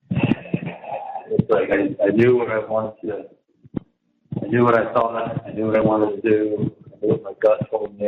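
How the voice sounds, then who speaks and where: A man talking casually and at length on a jail phone call, emphatic and repetitive, often trailing off mid-sentence. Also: medium pitch. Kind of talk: casual, rambling private phone conversation. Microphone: telephone handset. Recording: muffled, noisy, echoey jail phone line.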